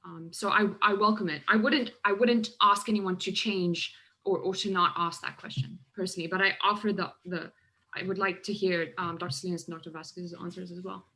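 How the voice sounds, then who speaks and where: A woman professor speaking on a panel over a video call, with a bright, forward tone. She comes across as open and welcoming, and her phrases often stop and restart. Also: fairly low pitch, steady tone, brisk, low-quality recording.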